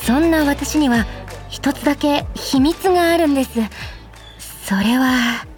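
A voice actress playing a young woman office worker in a radio drama, polite, expressive and cheekily blunt. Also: sits high, unhurried, faint music behind. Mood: confiding narration. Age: young adult. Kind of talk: anime-like radio drama acting with first-person narration.

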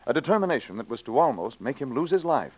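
A man's voice: medium pitch, sing-song, fast, slight background noise, close to an everyday voice. Old-time radio announcer male: American, dramatic and sweeping delivery of scripted western narration, dull vintage sound. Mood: solemn, dramatic. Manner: dramatic, declamatory.

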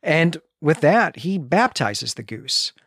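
A man co-hosting a book podcast, retelling a chapter in a lively storytelling way. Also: a little high, slow pace, slightly rough, dry recording, clean studio sound. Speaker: podcast co-host. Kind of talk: book-club podcast recap.

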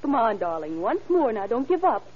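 Young ingenue, doting and easily worried, very high earnest voice, muffled vintage radio sound. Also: female voice, big pitch swings, moderate pace. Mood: teasing. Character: doting, anxious young sweetheart. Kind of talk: 1940s-style radio drama acting. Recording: muffled, very noisy old radio recording.

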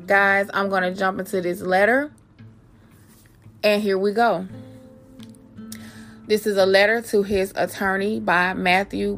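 A woman's voice: medium pitch, fairly bright tone. Woman, podcast host. Mid-range voice, speaks directly and familiarly to listeners or reads aloud, music underneath. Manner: addresses listeners familiarly as guys. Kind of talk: personal podcast talk and reading aloud.

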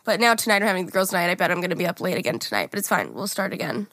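A young woman co-hosting a podcast, with a raspy morning voice, chatting casually, laughing often and swearing freely. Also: on the low side, big pitch swings, rapid-fire, fairly bright tone, no echo, clean studio sound. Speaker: podcast co-host. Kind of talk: casual chat.

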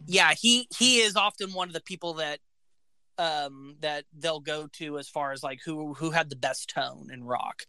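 A man with a high voice, talking eagerly about a band in casual podcast chat, full of facts and "like"s. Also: normal speed, sharp tone, smooth, dry recording, poor audio. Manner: enthusiastic, fact-filled, says "like" often. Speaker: podcast co-host.

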